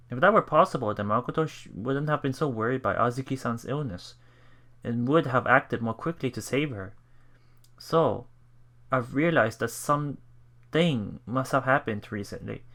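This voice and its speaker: A man with a mid-pitched, creaky voice hosts a let's play. He reads visual novel narration aloud and haltingly thinks out loud as he goes. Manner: halting, thinking aloud between read passages. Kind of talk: reading visual novel text aloud with commentary.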